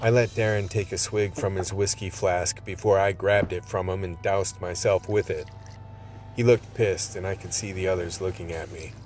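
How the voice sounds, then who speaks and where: An actor playing a world-weary undercover vice cop, telling his story in a measured, hard-boiled way for a radio play. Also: deep voice, fairly even intonation, darkish tone, a little hiss or hum, close to an everyday voice. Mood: grim, measured. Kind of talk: scripted radio play narration.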